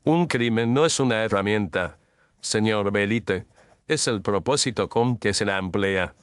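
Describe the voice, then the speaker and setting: A man playing a composed, incisive detective in an audio drama, speaking formally and with measured declamation. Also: on the low side, smooth, no room sound, studio quality, close to an everyday voice. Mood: composed, accusing.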